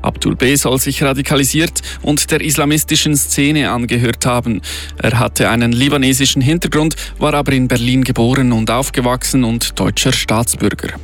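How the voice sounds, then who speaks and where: A man's voice: medium pitch, fairly even intonation, moderate pace. A man reading scripted radio news in the studio. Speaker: radio newsreader. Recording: studio news over music bed. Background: music bed.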